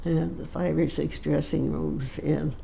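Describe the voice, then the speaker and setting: A woman of about a hundred recalling old family stories in a slow, halting way, with many pauses and fillers. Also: deep voice, fairly even intonation, vocal fry, warm tone, slight background noise. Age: 100s. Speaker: interviewee. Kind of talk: casual storytelling.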